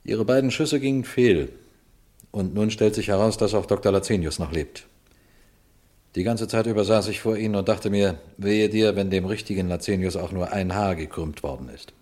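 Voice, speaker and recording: An actor playing a calm, shrewd police detective in a German radio crime drama, speaking deliberately with dry irony. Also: male voice, on the low side, close to an everyday voice. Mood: composed, ironic. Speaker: detective narrating his own case.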